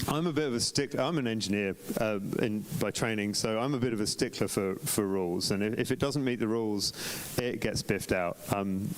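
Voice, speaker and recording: Chatty man critiquing photos, faint hiss. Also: lowish voice. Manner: chatty, self-correcting, frequent ums and restarts. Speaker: photo competition reviewer. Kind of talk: live-streamed club critique. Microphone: live stream.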